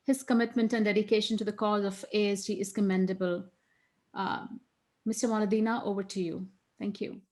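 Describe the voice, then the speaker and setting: A woman moderates an online lecture in a polite, formal way, introducing speakers and thanking the audience. Her voice sits at an ordinary middle pitch. She speaks over a webinar call. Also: flat delivery, brisk, a little mellow.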